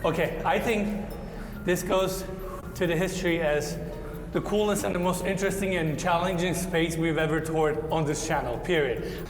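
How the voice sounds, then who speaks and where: A young man whose first language is Turkish, hosting a travel vlog with excited on-location chat and reflective voice-over. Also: a little high, moderate pace. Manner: excitable, exclaims and laughs while crawling through tight spaces. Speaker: host. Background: background music.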